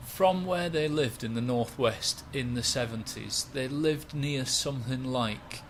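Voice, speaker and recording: A man, a stand-up comedian chatting in a relaxed, rambling, self-mocking way. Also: medium pitch, unhurried, a little hiss or hum. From West Yorkshire, England. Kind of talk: relaxed interview chat.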